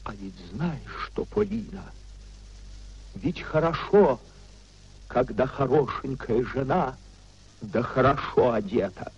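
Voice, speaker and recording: Despairing young idealist husband, old radio play, slow and theatrical, high swooping voice. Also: male voice, lively intonation, slight background noise. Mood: anguished, wavering. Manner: theatrical, emotional, with drawn-out repetitions. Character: anguished idealistic young husband abandoning his principles in despair. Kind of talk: 1950s radio play acting. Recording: dull 1950s radio drama recording.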